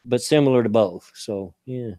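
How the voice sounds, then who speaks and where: A man's voice: mid-range voice, normal speed, mellow, dry recording. This is an older man with a scratchy voice and a mixed Hoosier-Kentucky accent. He chats loosely and laughs easily on a stream, his voice close and dry. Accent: Southern Indiana and Kentucky. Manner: laughs often, folksy. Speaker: show host. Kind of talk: casual stream chat.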